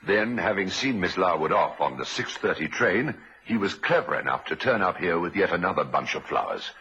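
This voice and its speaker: A man's voice: deep voice, animated, a little fast, pushed and bright, slight background noise. An actor playing a composed doctor in an old-time radio drama, a man explaining things in a matter-of-fact way. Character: composed male doctor calmly revealing the killer. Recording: muffled vintage radio recording.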